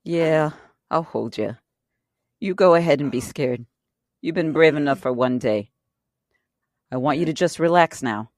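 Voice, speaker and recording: A woman's voice: sits low, animated, a little slow, long pauses, darkish tone, no echo, studio quality. A woman playing a tough but protective career robber in an audio drama, speaking bluntly and with profanity in a steadying way. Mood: soothing.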